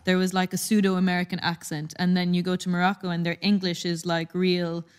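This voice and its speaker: A woman's voice: on the low side, little pitch movement, a little fast, clear, resonant tone. A young woman with an Irish accent, a singer guest chatting with lots of fillers and laughs. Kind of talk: casual chat.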